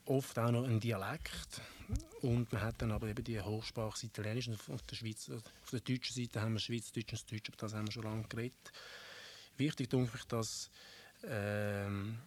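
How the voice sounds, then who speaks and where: A middle-aged man with a Swiss German accent, explaining a topic in measured commentary with frequent fillers. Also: medium pitch. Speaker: presenter.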